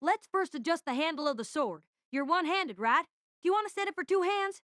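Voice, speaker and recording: Woman as an eager, high, bright young male engineer. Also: expressive, fairly quick, no room sound, clean studio sound. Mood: enthusiastic, friendly. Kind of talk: audio drama dialogue.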